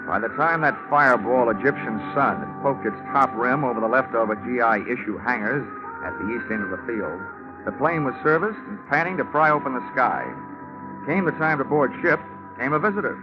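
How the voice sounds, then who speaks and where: An American actor playing a cocky, wisecracking insurance investigator in a vintage radio drama, with wry, slangy, hard-boiled delivery. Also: male voice, mid-range voice, brisk, muffled recording, natural voice. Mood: breezy, wry narration. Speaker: lead actor and narrator of radio serial. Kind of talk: 1950s radio detective drama acting. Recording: vintage radio broadcast, band-limited, music behind.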